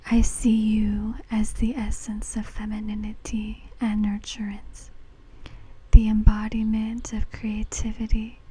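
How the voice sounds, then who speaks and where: A woman whispering a fortune-telling reading in ASMR style, breathy and deliberate, speaking close to the mic. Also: a little high, mellow, poor audio. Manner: slow, deliberate, measured phrasing. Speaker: fortune teller.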